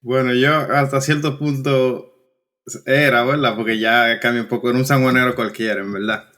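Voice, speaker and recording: A young man with a Dominican accent, telling his story as an interview guest in a relaxed, halting way with frequent restarts. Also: medium pitch. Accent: Dominican Spanish. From Dominican Republic. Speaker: guest engineer. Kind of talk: casual conversational interview.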